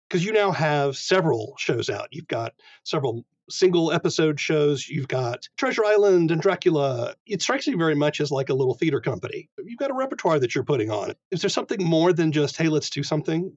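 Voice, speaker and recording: A man's voice: on the high side, lively intonation, brisk, a little mellow. A man interviewing conversationally as a podcast host, on a slightly lo-fi recording. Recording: slightly dull, lo-fi sound.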